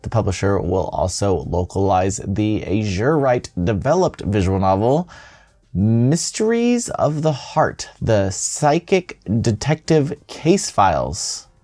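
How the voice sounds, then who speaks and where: A man's voice: fairly low pitch, very animated, slow, dark tone. A man hosting a gaming news show, reading scripted headlines with casual, playful and self-deprecating asides. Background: music.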